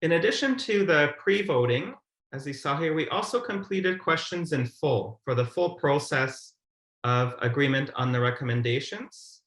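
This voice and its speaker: A man's voice: on the high side, animated, normal speed, fairly bright tone. A man in his thirties giving an explanatory presentation as a webinar speaker on a video call. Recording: roomy, echoey video call.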